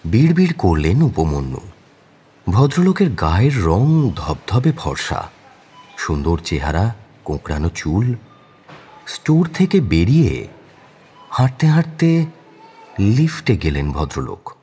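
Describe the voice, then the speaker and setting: A man's voice: low-pitched, wildly swooping pitch, unhurried, pauses often, dark tone, slightly rough. A man narrating a crime audio story in a dramatic, scripted storytelling style.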